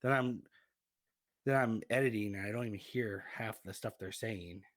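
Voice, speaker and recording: A man's voice: lowish voice, moderate pace, no room sound. A man who narrates stories, chatting as a podcast guest in a rambling, self-mocking way. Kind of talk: casual podcast chat.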